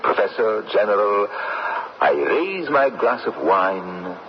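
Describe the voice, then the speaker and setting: Very low gravelly radio-play dad, courteous host to the dead, swings up and down a lot, dull old broadcast. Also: male voice, sing-song, noisy background. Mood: courteous, measured. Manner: courteous, measured, formal. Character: gentle, courteous father, gravedigger hosting dead guests. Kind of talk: old-time radio drama acting.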